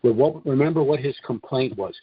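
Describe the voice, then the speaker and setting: A husky-voiced man presents an informal briefing on a conference call. His voice sits a little low, and he sets out his points in deliberate chunks with weighty pauses. The audio is dull and lo-fi, with very little room around it. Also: dry recording.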